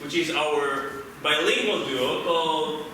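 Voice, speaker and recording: A man from Peru, hosting a live improv show and chatting with the audience in a halting way, full of fillers. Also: on the high side, steady tone, pushed and bright, a little hiss or hum. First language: Spanish. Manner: halting, many restarts and fillers. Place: small theater, live audience. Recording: echoey theater, mic from a distance.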